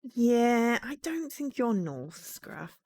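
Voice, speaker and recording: A woman's voice: on the high side, big pitch swings, slow, darkish tone, no echo. Young english woman streaming a game, pretty neutral accent, bouncy up-and-down voice, dry close sound, laughs a lot. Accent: fairly neutral English. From England, East Midlands. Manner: chatty, laughs often, playfully defensive. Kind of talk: casual live-stream chat while playing a game.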